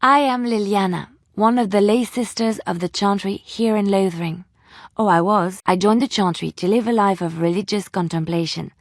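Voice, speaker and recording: An earnest young woman of faith played by an actress in a fantasy game. Her mid-pitched voice is gentle, polite and hopeful, recorded dry and close. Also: moderate pace, dry recording, close to an everyday voice. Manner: earnest, polite, softly pleading. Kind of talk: fantasy video game acting.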